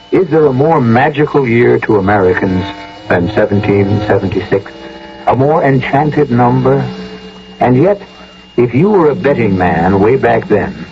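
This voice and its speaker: A man hosting and narrating a radio mystery drama in a theatrical, measured, rhetorical style, on a muffled old broadcast. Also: mid-range voice, a little hiss or hum.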